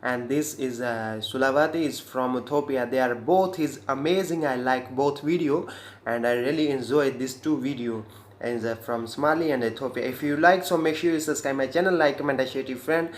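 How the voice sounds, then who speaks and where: A man from India, reacting to music videos with short excited exclamations and simple, broken English. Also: medium pitch, brisk, a little hiss or hum. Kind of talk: casual music reaction commentary. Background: faint music playing.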